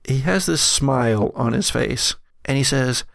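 A man narrating as game master of a gothic horror tabletop game, deliberate and storyteller-like, with pauses. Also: mid-range voice, creaky, fairly bright tone, dry recording, studio quality.

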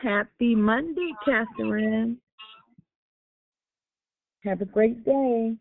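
A woman's voice: a little high, drawn-out words, no room sound. Woman hosting a call over a tinny phone line. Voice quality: gets raspy. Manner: repeats greetings, warm affectionate address. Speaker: host of a prayer conference call. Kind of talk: call-in greetings. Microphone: telephone.

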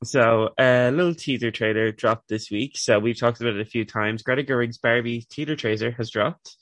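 A young man hosting a podcast, easygoing and quick to laugh, reading pop news in a light, casual way while a bit sniffly. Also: mid-range voice, fairly even intonation, a bit bright, no room sound. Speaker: podcast host.

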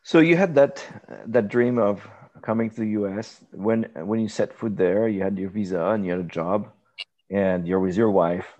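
A man interviewing a guest in a relaxed, encouraging way, prompting him with questions and frequent murmurs of agreement. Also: fairly low pitch, moderate pace, lo-fi sound. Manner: encouraging, with many backchannel murmurs. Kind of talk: conversational interview.

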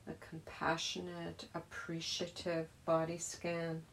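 A woman gently leading a guided meditation as a teacher, in a soothing, unhurried manner. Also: lowish voice, monotone, creaky, slight background noise, low-quality recording.

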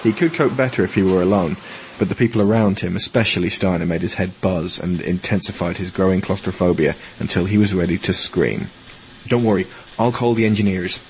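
A man's voice: low-pitched, a little fast, hardly any pauses, a little hiss or hum, low-quality recording. A man reading scripted audio drama narration as the storyteller.

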